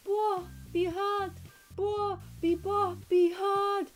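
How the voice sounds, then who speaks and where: A man's voice: very high voice, little pitch movement, drawn-out words, soft background music, voice pushed up, exaggerated voice. A man voicing an overexcited sultry woman in an exaggerated squeal for a comic radio play.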